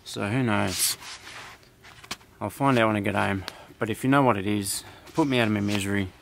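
Low-voiced Australian man, noisy outdoor recording. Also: normal speed, creaky. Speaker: camping vlogger. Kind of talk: casual outdoor vlog chat. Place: outdoors at a forest campsite. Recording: noisy outdoor camera audio with clicks.

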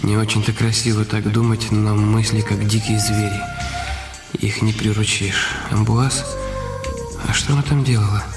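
An actor in a radio drama playing an anxious, calculating husband who broods aloud in halting phrases. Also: male voice, fairly low pitch, little pitch movement, natural voice. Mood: brooding. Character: anxious, calculating husband brooding over his injured wife. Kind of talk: radio drama acting, inner monologue. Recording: echoey, slightly distant studio sound. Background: music.

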